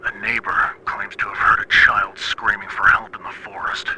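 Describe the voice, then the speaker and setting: A man playing a stern, menacing military commander in an animated horror series, giving a cold, condescending briefing over the radio. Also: fairly low pitch, normal speed. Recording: very noisy radio transmission.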